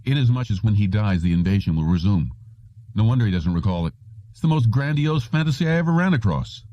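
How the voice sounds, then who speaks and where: A man's voice: lowish voice, animated, quick pace, warm tone, pitched above the performer's own voice, close to an everyday voice. A man voicing a stern, disapproving senior police officer with a stark, scornful manner in an audiobook. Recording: roomy, dull lo-fi audio.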